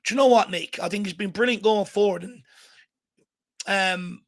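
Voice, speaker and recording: A hoarse Irish man hosting a fan watchalong, giving excited live commentary and urging the players on. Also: high-pitched, moderate pace. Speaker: fan channel host. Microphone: home desk mic.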